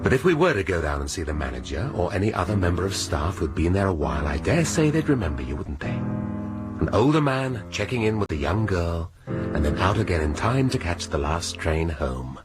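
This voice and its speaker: An actor playing a shrewd police inspector who questions coolly and pointedly in a radio drama. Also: male voice, low voice, sing-song, loud music behind the voice. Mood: probing. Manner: cool, pointed, deliberate questioning. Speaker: detective inspector.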